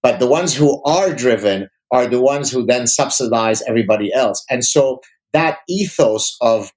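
Man, native Arabic speaker from Lebanon, medium-pitched, emphatic, articulate, formal wording, opinionated interview guest. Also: lively intonation, moderate pace, darkish tone, low-quality recording.

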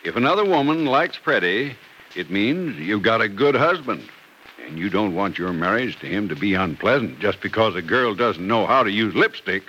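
Wry, fatherly old American man, low-voiced. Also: very animated, moderate pace, highs cut off. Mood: earnest advice. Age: older. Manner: folksy, hesitant, gently teasing. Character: fatherly, wry old man advising a worried young wife. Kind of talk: old-time radio soap opera acting. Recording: noisy old radio transcription recording.

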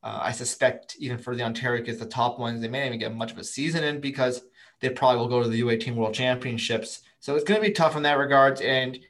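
A man's voice: medium pitch, fast talker, a bit bright. A man speaking as a guest on a podcast, thinking aloud with frequent restarts. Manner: thoughtful, lots of fillers and restarts. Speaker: guest, prospect writer. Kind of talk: casual podcast interview.

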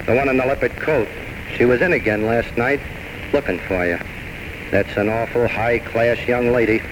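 A man's voice: medium pitch, moderate pace, close to an everyday voice. Acteur jouant un barman bourru et désabusé, son bruité. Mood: reproachful. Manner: blunt, folksy, ungrammatical working-class speech. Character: plain-spoken, world-weary male New York bartender. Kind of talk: old-time radio drama acting. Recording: noisy vintage radio recording.

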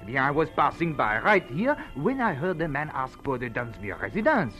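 A man's voice: medium pitch, very animated, normal speed, a bit bright. An actor playing a fussy, officious Frenchman with a French accent, theatrical and flustered, in 1940s radio style. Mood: dramatic, excited. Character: fussy, excitable Frenchman, officious and eager to help. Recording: old radio drama with music behind.

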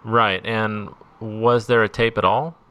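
A man's voice: on the low side, fairly even intonation, takes it slowly, clean tone, highs cut off. A man co-hosting who reacts and asks joking, casual questions. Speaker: podcast co-host. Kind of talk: casual chat.

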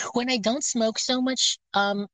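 A woman's voice: a little high, a bit bright, no echo. A woman with a scratchy voice chatting casually, rambling and hesitant with many fillers and restarts. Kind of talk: casual chat. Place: outdoors, near her car.

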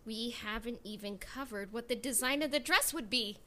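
A woman's voice: fairly high pitch, normal speed, pushed and bright. A woman co-hosting a podcast in casual group banter, wry and thinking things over as she talks. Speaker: podcast co-host.

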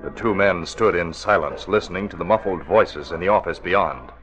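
A man narrating a 1940s radio drama as its announcer, in a dramatic, measured scripted delivery. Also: deep voice, fairly bright tone, faint music behind.

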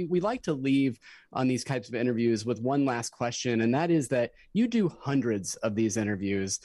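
A man's voice: medium pitch, darkish tone, dry recording. Friendly male interview host, dry sound. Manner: friendly, gushing thanks. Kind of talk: casual interview chat.

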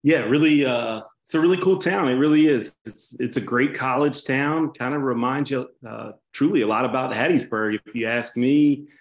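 A male sports broadcaster spinning an easygoing story as a podcast guest. His voice sits at an ordinary middle pitch and rings in a roomy, echoey space. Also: moderate pace, few pauses. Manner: easygoing anecdote-teller. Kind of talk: storytelling in casual chat. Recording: roomy echo.

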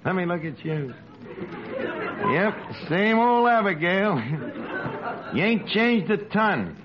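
An actor playing a brash, uneducated, wisecracking tavern manager with slangy streetwise talk in 1940s radio comedy. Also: male voice, high-pitched, very animated, takes it slowly, a loud crowd, full character voice. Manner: mock-grand, wisecracking. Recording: muffled old radio, noisy, loud studio audience.

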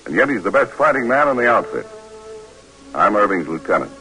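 An actor playing a steady paratroop lieutenant, narrating his war memories admiringly in a 1940s radio drama. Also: on the low side, a little fast, faint music behind, exaggerated voice, rough recording. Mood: reflective, grave. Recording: old radio recording.

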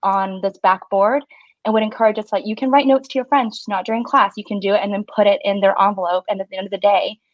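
A woman's voice: mid-range voice, fairly even intonation, fast talker, no room sound, lo-fi sound. A woman in her thirties from Singapore, a stationery shop owner and guest, talking in a friendly way with many fillers. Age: 30s. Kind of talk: casual interview chat.

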